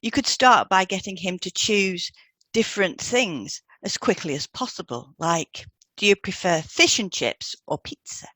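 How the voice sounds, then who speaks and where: A woman's voice: mid-range voice, animated, a little slow, bright, forceful tone, dry recording. A woman co-hosting a podcast, explaining therapy techniques in a scripted dialogue. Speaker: podcast co-host, hypnotherapist.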